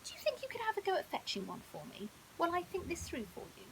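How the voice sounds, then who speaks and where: A clever, teasing female crocodile, voiced by a woman reading a bedtime story. She is high and lilting, smugly assured and polite, over a faint hiss. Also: wildly swooping pitch, fast talker, creaky, heavily acted, rough recording. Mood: breezy, requesting. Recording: audible hiss.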